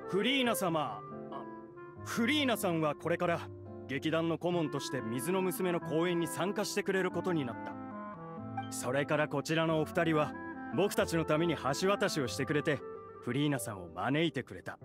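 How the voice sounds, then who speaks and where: Earnest, slightly high-voiced young troupe actor voiced by a man. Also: lively intonation, normal speed, over music, lightly acted. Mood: introducing, eager. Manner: courteous, earnest, clearly phrased. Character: polite, earnest young male theater troupe member. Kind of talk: Japanese anime game voice acting.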